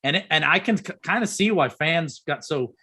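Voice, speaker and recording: Bright sharp guy on a sports podcast, wry, teasing, fairly high voice. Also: expressive, moderate pace. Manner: wry and teasing, laughs often. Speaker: podcast co-host. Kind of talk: casual sports chat.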